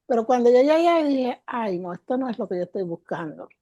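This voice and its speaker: A woman's voice: a little high, sing-song, fairly quick, mellow, no echo, dull audio. Older woman telling her story with feeling. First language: Spanish. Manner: emotive, repeats words for emphasis, laughs. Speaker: guest teacher. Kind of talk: interview answers, storytelling.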